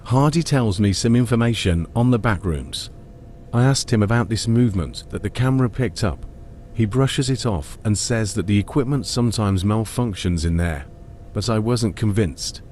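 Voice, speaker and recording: A man delivers first-person narration for a dramatised audiobook. His voice sits a little low, and faint ambient noise runs behind it. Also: moderate pace, darkish tone. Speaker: narrator and lead character.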